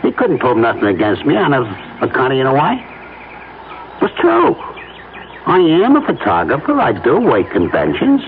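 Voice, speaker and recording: Sleazy, chummy blackmailer, wildly swooping voice. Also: male voice, mid-range voice, wildly swooping pitch, normal speed. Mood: slyly menacing. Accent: American. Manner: slangy, ungrammatical, falsely chummy. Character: sleazy, folksy small-time photographer blackmailer, male. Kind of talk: 1970s radio drama acting. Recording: tinny, band-limited old radio recording, faint noise.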